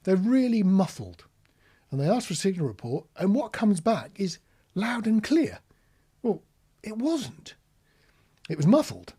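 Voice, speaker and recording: A man's voice: sits high, big pitch swings, measured pace, dark tone, husky. A British man giving an informal, practical tutorial, explaining things plainly with anecdotes as an instructor. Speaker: marine radio instructor.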